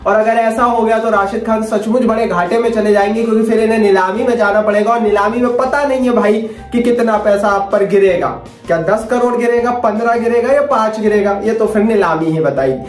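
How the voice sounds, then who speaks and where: High-voiced young man reading cricket news. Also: a little fast. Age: young adult. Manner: chatty, addresses viewers as friends, asks for comments. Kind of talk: daily news roundup in Hindi. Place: small studio with a screen behind. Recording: roomy sound with music underneath.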